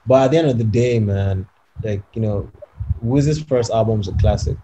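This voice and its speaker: A man's voice: lowish voice, moderate pace, highs cut off, slight background noise. A young man co-hosting a casual podcast over a video call from home, arguing his point emphatically and often checking if he is understood. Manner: animated, emphatic, persuasive, often asks "you know what I'm saying". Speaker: podcast co-host. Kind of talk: casual debate among friends.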